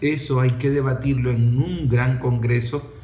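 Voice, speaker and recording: A man's voice: medium pitch, fairly even intonation, unhurried, warm tone, echoey room. A middle-aged man with a Venezuelan accent giving an impassioned opinion talk in an emphatic, scolding way, full of rhetorical questions.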